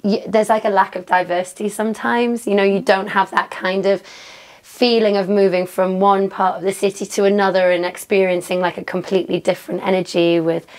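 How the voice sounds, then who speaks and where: A woman's voice: medium pitch, little pitch movement, a little fast, darkish tone. A young woman from Newcastle speaks with a Geordie accent as an interview guest. She talks casually and chattily, scattering "um" and "like" through her answers. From Newcastle, England. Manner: chatty, fillers like "um" and "like".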